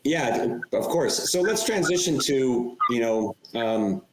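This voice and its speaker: A man's voice: medium pitch, animated, takes it slowly, echoey room, background noise. A man hosting a podcast who asks long, rambling questions, circling back and restarting them with fillers. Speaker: podcast host. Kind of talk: interview questions, conversational.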